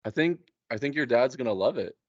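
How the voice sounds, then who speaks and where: A man chatting casually and a bit haltingly, in informal language, on a dull lo-fi recording. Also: mid-range voice, animated, a little mellow, dry recording. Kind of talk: casual chat.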